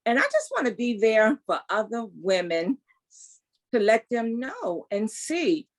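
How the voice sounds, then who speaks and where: A woman's voice: fairly high pitch, sing-song, unhurried. A woman in her sixties, a guest telling her story in an emphatic, faith-filled way. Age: 60s. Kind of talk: casual interview, testimony.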